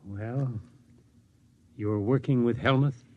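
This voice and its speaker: An actor playing a wry, philosophical male confidant, measured and probing, in a 1950s radio drama. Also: medium pitch, dark tone, lo-fi sound, natural voice. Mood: wry, disappointed.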